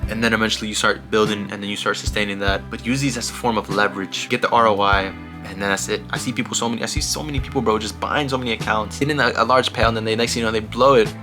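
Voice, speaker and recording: A man's voice: fairly low pitch, steady tone, fast talker, music in the background. A young man casually talking through his trading progress in a vlog, laid-back and repetitive, often saying "bro" and "you know". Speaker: day trading vlogger.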